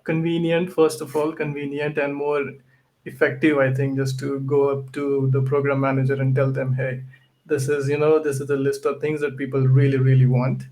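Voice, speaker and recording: A man's voice: medium pitch, little pitch movement, normal speed, a little mellow, low-quality recording. A man hosting a tech podcast, talking casually and often ending his points with "right?". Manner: tags sentences with "right?". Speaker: podcast host and event organizer.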